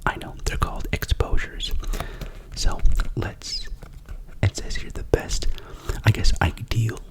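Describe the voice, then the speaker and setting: A young man with a cough whispering a rambling, chatty ASMR unboxing as the channel's host. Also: takes it slowly. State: sick with a cough.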